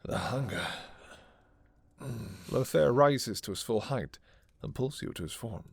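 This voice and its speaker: A man's voice: medium pitch, expressive, measured pace, vocal fry, husky. A man narrating a scripted fantasy romance in a dramatic storytelling style.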